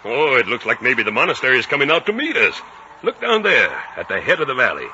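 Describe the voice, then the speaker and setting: Brassy, folksy wry passenger. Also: male voice, mid-range voice, big pitch swings, quick pace, pushed and bright. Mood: plain, alert. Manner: informal, dry humour. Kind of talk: 1950s radio drama acting. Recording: muffled noisy 1950 radio recording.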